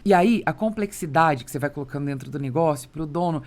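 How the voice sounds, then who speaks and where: A woman's voice: low voice, expressive, fast, a little mellow. A Brazilian woman hosting a business podcast, explaining things conversationally and with emphasis. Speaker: podcast host.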